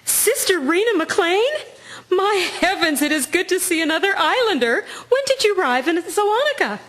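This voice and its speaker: A woman's voice: very high voice, lively intonation, normal speed, fairly bright tone. A woman acting a stoic, weary First World War nursing sister in a radio drama. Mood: glad, surprised.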